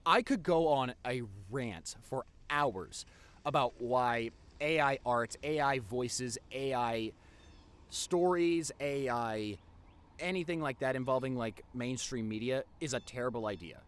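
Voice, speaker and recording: A man's voice: medium pitch, measured pace, fairly bright tone, clean tone, close to an everyday voice. A man playing a nerdy, introverted young boyfriend in an intimate audio roleplay, earnest and rambling with a nervous stammer. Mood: indignant, passionate. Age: young adult. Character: nerdy introverted young boyfriend, wacky, tender, passionate artist.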